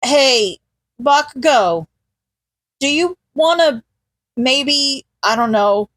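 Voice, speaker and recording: A woman voicing an excitable, foul-mouthed young man who talks emphatically, in a fan fiction audio reading. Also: high voice, extremely slow, no echo, heavily acted, low-quality recording. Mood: nervous, hopeful. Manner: indignant, emphatic, cursing, nervous stammering.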